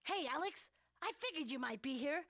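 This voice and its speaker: A woman's voice: high voice, animated, normal speed, a bit bright. A woman in a recording session voicing an eager adventurer in an upbeat, friendly read. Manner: upbeat, often stumbles and apologizes.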